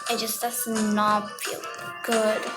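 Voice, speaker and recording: A young female gamer improvising an anxious, dramatic roleplay over gameplay, with loud music behind the voice. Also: medium pitch, completely flat delivery, slow. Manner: dramatic, anxious play-acting. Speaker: gaming video creator.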